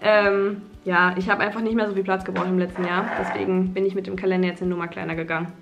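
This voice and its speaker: A woman's voice: mid-range voice, little pitch movement, quick pace, gravelly, highs cut off, music in the background. A woman in her twenties chatting in an easygoing, upbeat way to a handheld camera at home. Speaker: vlogger co-host. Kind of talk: casual chat. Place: apartment, indoors.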